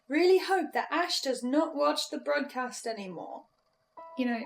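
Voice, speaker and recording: A woman plays an anxious young archivist in a horror drama. Her voice is high and unhurried, and she hesitates, stammers and restarts her sentences. Music plays behind her. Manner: hesitant, stammering, self-interrupting.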